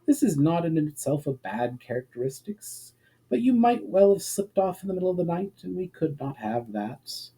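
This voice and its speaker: Guy reading as calm sad elf lady, formal n measured, soft mellow tone, fantasy audiobook. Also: on the high side, a little hiss or hum, pitched above the performer's own voice, poor audio. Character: elf woman, calm, regretful, resolute, formal.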